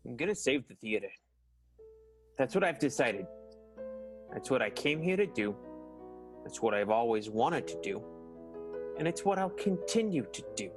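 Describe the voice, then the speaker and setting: A man plays a theater manager full of grim resolve in a radio melodrama. He speaks emphatically in a medium register over clear music. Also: mid-range voice, sing-song, normal speed, long pauses, close to an everyday voice. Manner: emphatic, insistent. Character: grimly determined male theater manager. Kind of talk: improvised radio soap opera acting.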